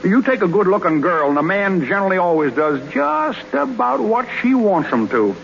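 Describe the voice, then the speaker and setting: An actor playing a bashful, fumbling young ballplayer in 1930s radio comedy, stammering folksy excuses in a halting way. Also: male voice, sits high, sing-song, creaky, exaggerated voice. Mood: sheepish. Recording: noisy, dull 1930s radio recording.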